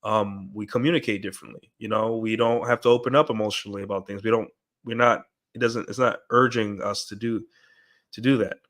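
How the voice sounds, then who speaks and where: A man's voice: on the low side, fairly even intonation, a little fast, a bit bright. A man with a stuffed-up nose from a cold, talking in a rambling, casual livestream monologue and often checking in with "right?". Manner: rambling, keeps asking "right?". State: congested from a cold. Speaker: streamer. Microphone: desk mic through an audio interface.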